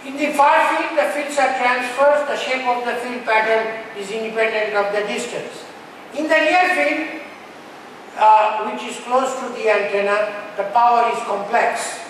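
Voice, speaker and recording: A man's voice: very high voice, steady tone, measured pace, fairly bright tone. A man giving a technical keynote lecture in a blunt, provocative manner, challenging listeners with rhetorical questions. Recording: very echoey hall, distant mic, faint noise.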